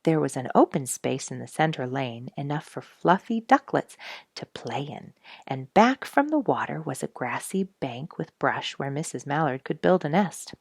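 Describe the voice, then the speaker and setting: A woman reading a children's story aloud as narrator, with expressive storybook phrasing. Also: deep voice, moderate pace, breathy edge, no echo, studio quality.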